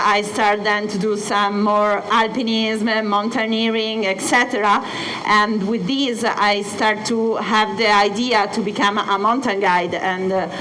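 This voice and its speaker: A young Italian woman with an Italian accent, presenting as a mountain guide and pausing often with filler sounds. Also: mid-range voice, fairly bright tone, a little hiss or hum, low-quality recording. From Italy. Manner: many filler sounds and pauses. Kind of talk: hesitant presentation talk. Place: hotel event space.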